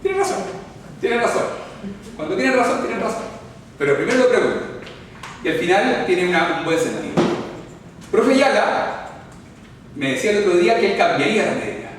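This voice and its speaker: A man with a Chilean accent teaches a classroom, explaining points and checking in with his listeners. His voice sits high for a man. The recording is from far off in a large echoey hall with a long reverb tail. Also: very animated, moderate pace, pauses often, noisy background. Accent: Chilean Spanish. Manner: explanatory, checks in often with the audience, chatty asides. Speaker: orthodontics lecturer. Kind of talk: live classroom lecture with audience questions. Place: lecture hall.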